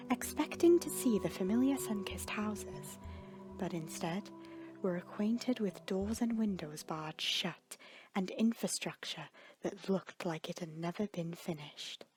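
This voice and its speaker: A woman narrates a fantasy story with solemn drama, her voice sitting at a middle pitch. Clear background music plays beneath her scripted reading. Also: measured pace. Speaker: narrator reading fan fiction. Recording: music under the voice.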